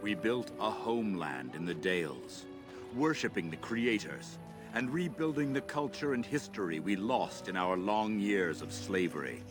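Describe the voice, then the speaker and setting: An actor playing an old elf storyteller, a grave and gently scolding elder who speaks in a solemn, measured, oratorical way. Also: male voice, on the low side, lively intonation, lightly acted. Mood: solemn storytelling. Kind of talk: dramatic storytelling game dialogue. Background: music.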